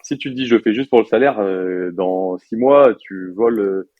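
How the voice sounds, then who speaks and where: A young man from France in his twenties talks shop as an interview guest, relaxed and chatty, full of fillers and slang. His voice sits low. The recording is dry and a bit dull, with little room sound. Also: normal speed, dry recording. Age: 20s. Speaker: software developer guest. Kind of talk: casual interview chat.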